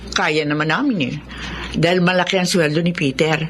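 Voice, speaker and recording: A woman's voice: lowish voice, steady tone, a little fast, fairly bright tone, a little hiss or hum, close to an everyday voice. A woman with a Filipino accent acting a proud, free-spending wife and mother in a radio drama. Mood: proud, unconcerned.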